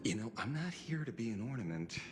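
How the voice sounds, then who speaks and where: A man's voice: mid-range voice, very animated, fairly quick, close to an everyday voice. A man voicing a wry, world-weary older drifter ranger in a video game, drawling, theatrical and teasing. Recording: loud game music behind the voice.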